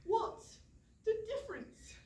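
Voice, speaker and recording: A woman's voice: slow pace, darkish tone, close to an everyday voice. A woman acting an anxious, melodramatic and blunt young castle guard in a staged comedy reading. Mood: puzzled, grumbling. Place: indoor studio theatre. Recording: roomy, distant stage pickup, clear noise.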